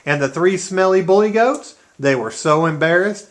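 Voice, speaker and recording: A storyteller with a medium-pitched voice, narrating a children's puppet-show tale in an animated storybook style. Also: moderate pace.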